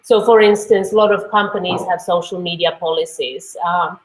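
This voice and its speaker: A woman's voice: fairly low pitch, steady tone, moderate pace. Finnish-accented woman lecturing in an echoey room. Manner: conversational, many fillers and restarts. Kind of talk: online course lecture. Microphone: computer mic on a video call.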